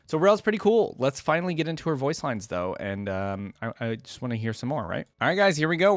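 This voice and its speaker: A man's voice: on the low side, no echo. A man hosting a reaction video, giving casual, informal and chatty commentary. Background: faint music.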